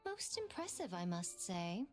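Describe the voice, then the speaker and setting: A woman's voice: on the low side, big pitch swings, measured pace, soft background music. A woman playing a sly, teasing, elegant shrine priestess in an anime video game, with a coy, knowing drawl. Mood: coy, amused.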